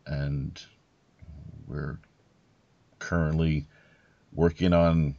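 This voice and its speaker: A man hosting a podcast with deliberate, measured delivery and formal, announcer-like phrasing. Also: very deep voice, little pitch movement, creaky, dry recording, muffled recording. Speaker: podcast host.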